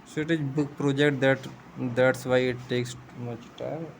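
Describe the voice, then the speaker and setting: A man's voice: medium pitch, steady tone, moderate pace, slight background noise. A man who is not a native English speaker, patiently explaining a coding tutorial step by step and thinking aloud as he goes. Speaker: programming tutor. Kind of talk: screen-share coding tutorial.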